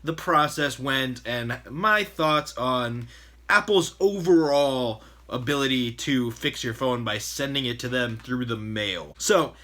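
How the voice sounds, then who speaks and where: Bright-voiced chatty male vlogger, a bit hoarse. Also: medium pitch, takes it slowly. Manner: chatty, exasperated storytelling. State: slightly hoarse, losing his voice. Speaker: channel host. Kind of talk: casual storytime vlog.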